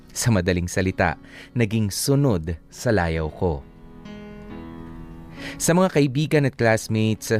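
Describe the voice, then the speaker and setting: A man with a Filipino accent narrating a first-person letter in dramatic radio storytelling style. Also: fairly low pitch. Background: soft guitar music.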